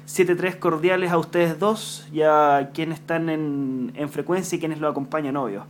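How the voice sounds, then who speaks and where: Chilean ham radio operator, man, higher voice, friendly chat, faint hum behind. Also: fairly even intonation, moderate pace. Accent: Chilean Spanish. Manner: friendly, chatty, uses call signs. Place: home room at a radio desk.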